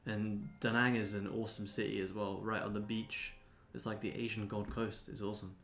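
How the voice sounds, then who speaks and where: A man's voice: on the low side, flat delivery, a little fast, fairly bright tone, slight background noise. A man in his twenties chatting casually as a livestream host answering viewers, laid-back, blokey and sweary. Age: 20s. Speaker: livestream host, day trader.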